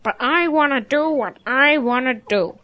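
A woman's voice: high voice, a little slow, dull audio. A woman playing a stubborn, childlike young calf who insists on being a duck, in a children's audio drama.

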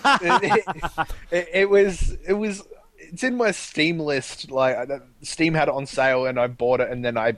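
A young man on a gaming podcast who talks deadpan, often stammering over his first words and swearing. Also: on the high side, moderate pace, no echo. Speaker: podcast cohost. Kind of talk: casual gaming podcast chat.

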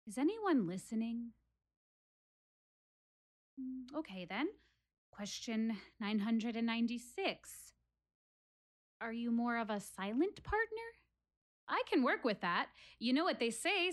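Actress as searching, lonely woman in a solo stage play. High voice, confiding, conversational, earnest. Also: animated, takes it slowly, long pauses. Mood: tentative, hopeful. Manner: conversational, confiding, self-deprecating. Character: earnest, searching woman praying aloud, lonely, self-questioning.

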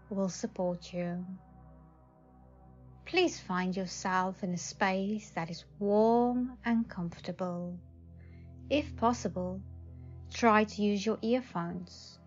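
A woman leading a guided meditation in a soothing manner, speaking in short phrases with long pauses. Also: mid-range voice. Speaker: psychic advisor, meditation guide. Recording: slightly dull audio. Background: faint music.

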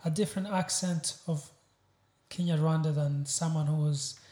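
A man's voice: a little high, steady tone, normal speed, mellow. A man with an East African accent, chatting casually as a guest on a podcast. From Uganda. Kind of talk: casual chat. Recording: roomy echo.

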